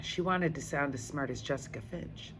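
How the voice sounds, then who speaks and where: A woman storyteller narrating a children's book aloud in an expressive style. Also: low voice, moderate pace. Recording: faint background noise.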